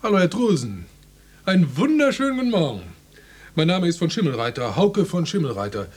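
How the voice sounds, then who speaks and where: A man's voice: high-pitched, very animated, fairly quick, a little hiss or hum. An actor playing a smarmy, officious door-to-door salesman of medical bandages in a German radio drama, with over-polite, jargon-filled patter. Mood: effusive, officious.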